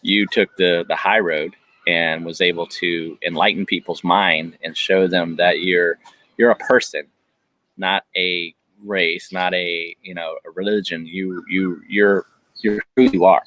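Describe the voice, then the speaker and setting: A man's voice: sits low, fairly even intonation, unhurried, fairly bright tone, no echo, rough recording. A man hosting an interview, affirming his guest and talking in a rambling way with frequent restarts. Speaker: podcast host. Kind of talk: conversational interview.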